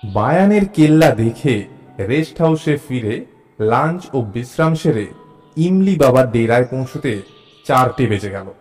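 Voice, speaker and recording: An actor narrating a suspense audio story as a curious, mild, observant traveler, in an expressive storytelling manner. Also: male voice, mid-range voice, unhurried, natural voice. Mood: measured, atmospheric. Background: background music.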